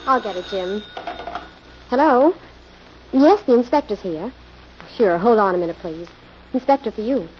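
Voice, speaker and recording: An actress playing an earnest, anxious young assistant to an investigator, in 1940s radio style. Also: female voice, mid-range voice, lively intonation, normal speed, pauses often, a little mellow, lightly acted. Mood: polite. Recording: noisy, dull old radio recording.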